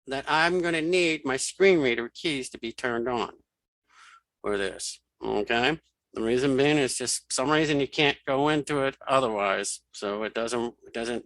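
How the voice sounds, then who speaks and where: Gravelly, bright-voiced man giving a tutorial. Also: medium pitch, no room sound, rough recording. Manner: informal, talks through each keypress. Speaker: screen reader tutorial instructor. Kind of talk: casual step-by-step software demonstration, thinking aloud.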